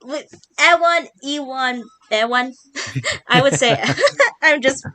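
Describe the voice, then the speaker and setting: Woman host, Filipino accent, bright chatty delivery with frequent giggles, casual chat. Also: sits high, takes it slowly, rough recording.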